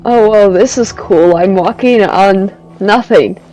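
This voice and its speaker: A woman's voice: mid-range voice, slow pace, mellow, low-quality recording. A woman streamer giving casual, musing commentary as she plays through a video game. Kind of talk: casual live playthrough commentary. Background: game music.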